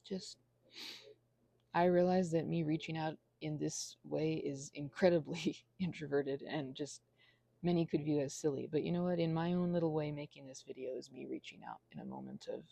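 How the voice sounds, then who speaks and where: Woman, 30s, low and creaky, earnest and halting, intimate ASMR ramble with a self-deprecating streak. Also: animated, mellow, no room sound. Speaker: ASMR channel creator. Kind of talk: rambling confessional ASMR monologue.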